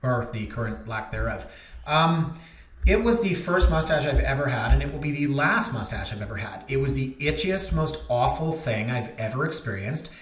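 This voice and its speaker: A man's voice: medium pitch, lively intonation, moderate pace, reverberant. A man in his thirties hosting a casual, joking real estate vlog, rambling and self-deprecating. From Canada. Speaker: realtor and vlog host. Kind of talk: casual rambling talk to camera. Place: office.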